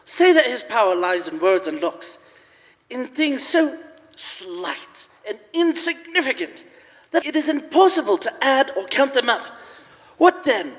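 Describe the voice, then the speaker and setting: A man playing an agitated, wistful old miser full of remorse in a live radio drama, earnest, halting and emotional. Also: very high-pitched, sing-song, fairly bright tone, heavily acted. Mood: earnest regret. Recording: live in reverberant church hall.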